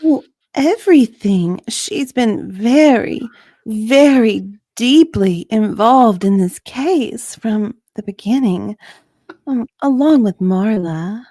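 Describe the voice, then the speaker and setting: A woman's voice: fairly high pitch, lively intonation, slow pace, warm tone, no room sound, heavily acted. A woman playing an unsure, hesitant witness in an amateur dramatic reading of a deposition, drawing out her answers. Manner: hesitant, drawn out, uncertain.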